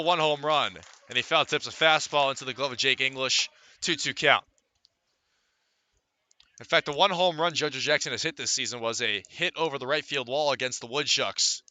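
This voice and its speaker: An American man gives live baseball play-by-play for radio. His voice sits slightly high and is very bright and forceful. He works through player statistics between calls of each pitch. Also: dry recording. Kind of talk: live sports commentary.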